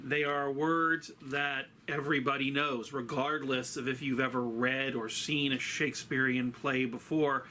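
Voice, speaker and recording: A man teaching and storytelling from a script, with a theatrical, emphatic delivery and dramatic pauses. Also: medium pitch, fairly even intonation, sharp tone, a little hiss or hum. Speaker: teacher and host of a story series. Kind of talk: scripted literary lesson and storytelling.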